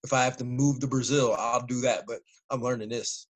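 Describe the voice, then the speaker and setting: A man in his forties, a former fighter, telling stories in a relaxed, casual interview and laughing often. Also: mid-range voice, fairly quick, low-quality recording. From United States.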